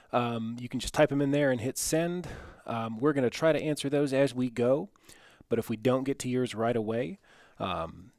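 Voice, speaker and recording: A man's voice: medium pitch, a little mellow, no echo. Guy moderating a webinar, dry close sound, matter-of-fact, going through housekeeping stuff. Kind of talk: housekeeping announcements to webinar attendees. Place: office, online webinar.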